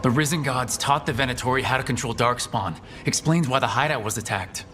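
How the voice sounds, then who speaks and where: An actor playing a friendly, level-headed team leader in a video game, speaking in a measured, conversational way. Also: male voice, medium pitch, bright, forceful tone, a little hiss or hum, lightly acted. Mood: steady, serious. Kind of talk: video game cutscene acting.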